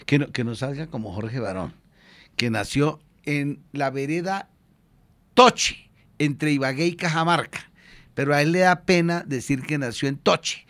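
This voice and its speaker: A man with a Colombian accent hosting an informal radio interview, slangy, teasing and quick to interrupt. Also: on the high side, fairly bright tone.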